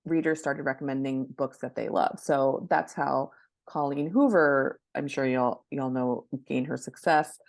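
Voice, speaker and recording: A woman guest expert giving conversational interview answers, haltingly rephrasing as she goes. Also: very deep voice, creaky, darkish tone, dry recording, clean studio sound. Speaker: guest expert, book marketing professional.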